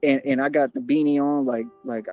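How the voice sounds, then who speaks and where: A man's voice: mid-range voice, steady tone, a little fast, no echo, faint music behind. A man ranting boastfully and confrontationally on a livestream, heavy on slang. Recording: dull, dry lo-fi stream audio.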